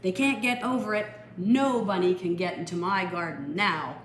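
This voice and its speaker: A woman's voice: lowish voice, normal speed, reverberant, a little hiss or hum, natural voice. A woman voicing a determined, grumbling male gardener in a picture book, speaking in emphatic declarations. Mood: defiant. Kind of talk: picture-book read-aloud character voice.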